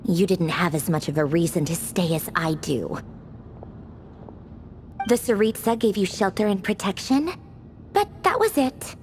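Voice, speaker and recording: A woman's voice: lowish voice, lively intonation, a little slow, pauses often, darkish tone, slight background noise. An actress playing a haughty, sardonic young female villain officer in a video game, dismissive and theatrical, with wistfulness underneath. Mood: frank, stern.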